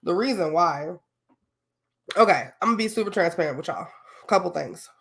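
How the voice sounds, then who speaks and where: A woman's voice: on the low side, normal speed, creaky, fairly bright tone. A young adult woman hosting a solo podcast, talking casually and candidly with lots of fillers and slang, a little sniffly from a cold. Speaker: podcast host.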